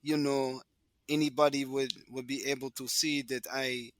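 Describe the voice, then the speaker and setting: A man talking as a podcast guest, reflective and soft-spoken, pausing and restarting as he remembers. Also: mid-range voice, little pitch movement, no room sound. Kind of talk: casual conversation.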